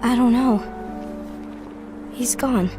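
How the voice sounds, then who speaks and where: High-voiced, earnest young warrior leader. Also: female voice, slow pace, music in the background. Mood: subdued, worried. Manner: emotive, earnest. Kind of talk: animated game film voice acting.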